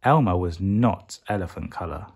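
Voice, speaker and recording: A man reading a children's picture book aloud as a bedtime story, expressively and deliberately, stretching key words for emphasis. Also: low-pitched, vocal fry, a little mellow, breathy edge.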